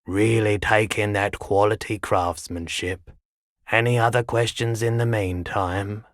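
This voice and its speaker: A weary, haggard man who keeps a pawnshop, acted by a man for a video game. His voice sits on the low side and moves very slowly. He is measured and resigned, and the recording is dry and close. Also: fairly even intonation, dry recording, lightly acted. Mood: dry, salesmanlike. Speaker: shopkeeper. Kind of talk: video game character dialogue.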